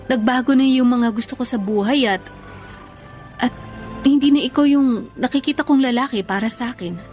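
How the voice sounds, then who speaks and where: Actress as fed-up ex-girlfriend, high female voice, blunt, weary, firm, radio drama, soft music behind. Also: normal speed, close to an everyday voice. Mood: firm, resigned. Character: weary, blunt ex-girlfriend firmly ending a long relationship. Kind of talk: emotional radio drama dialogue.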